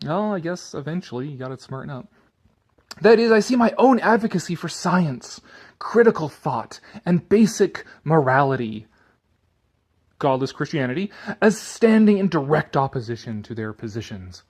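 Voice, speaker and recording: A man's voice: a little high, big pitch swings, moderate pace, darkish tone. A man giving a sardonic commentary and theatrical reading of an article, drifting into rambling asides.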